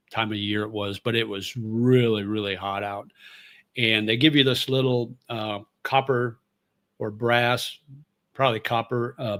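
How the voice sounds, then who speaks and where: A man's voice: mid-range voice, a bit bright, no room sound. A middle-aged man and former radio host, chatting casually on his livestream and reading viewer comments in a rambling, easygoing way with plenty of fillers.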